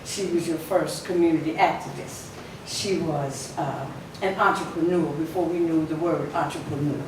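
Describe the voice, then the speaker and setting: A woman's voice: sits low, steady tone, normal speed, crowd noise. A woman speaking as a society spokesperson at a ceremony, telling a story with emphasis and some fillers. Her voice is weaker than usual, and she leans in to a podium microphone. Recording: echoey hall from far off, noisy.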